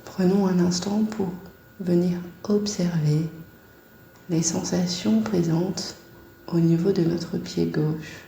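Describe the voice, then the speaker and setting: A woman guiding a body scan meditation in a calm, soft-spoken, unhurried way with long pauses. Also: on the low side, gravelly, roomy sound, slight background noise.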